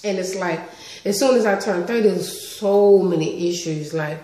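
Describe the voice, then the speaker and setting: A woman in her thirties chatting casually on a home vlog, animated and talkative, recorded on a webcam in her living room. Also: lowish voice, normal speed, roomy sound. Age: 30s. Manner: chatty, animated with lots of hand gestures. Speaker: vlogger. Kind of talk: casual story-time vlog. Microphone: webcam close up.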